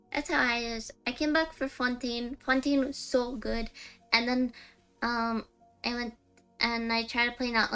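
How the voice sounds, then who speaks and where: Woman streamer rambling while she plays, bright forceful voice a bit high, some game music underneath. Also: clean tone, low-quality recording. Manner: rambling, chatty, self-interrupting. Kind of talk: casual chat while gaming.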